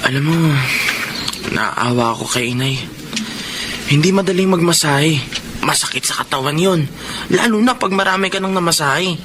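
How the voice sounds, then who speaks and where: A man's voice: fairly high pitch, lively intonation, moderate pace, long pauses, background noise, natural voice. A young man playing a devoted, earnest Filipino son in a radio drama. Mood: caring.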